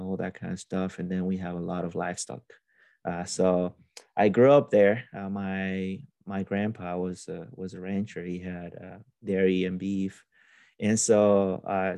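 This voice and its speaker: Hesitant young man, low and dark. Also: dry recording. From Honduras. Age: young adult. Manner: hesitant, many restarts and fillers. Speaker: podcast guest. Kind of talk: casual interview storytelling.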